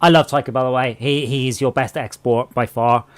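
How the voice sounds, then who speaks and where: A man with a southern English accent chatting excitedly and jokily with friends while playing a game online. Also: medium pitch, brisk, dry recording. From England. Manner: chatty, excitable, jokey, tangents. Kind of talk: casual gaming chat.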